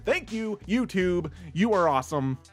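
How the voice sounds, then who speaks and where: A man with a slight lisp and a slightly high voice rambles through a self-mocking rant. His delivery is dry and sarcastic, and faint music plays quietly behind him. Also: animated, measured pace. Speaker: YouTube host. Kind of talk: sarcastic rant reading viewer comments.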